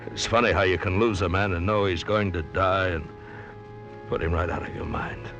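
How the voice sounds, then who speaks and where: A man's voice: deep voice, steady tone, moderate pace, lo-fi sound, natural voice. A man narrating and acting in an old radio drama, in a grim, weary first-person manner. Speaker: narrator and lead character. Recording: old radio recording with music beneath.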